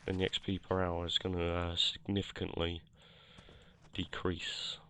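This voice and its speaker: A man with a deep, monotone voice narrates his gameplay in a relaxed, rambling way. His throat sounds croaky from a cold, and he fills pauses with "uh" and corrects himself mid-sentence. It sounds like a home headset recording. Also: slight background noise. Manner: rambling, self-correcting, many fillers. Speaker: gameplay commentator. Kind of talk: casual game commentary.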